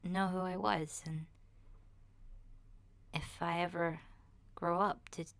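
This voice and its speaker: A woman voicing an awkward ten-year-old boy in a hesitant, rambling ASMR roleplay diary, soft and breathy and often slipping toward a whisper. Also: on the low side, level tone, slow, no room sound. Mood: wistful, earnest. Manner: hesitant, shy, rambling with sighs and self-corrections.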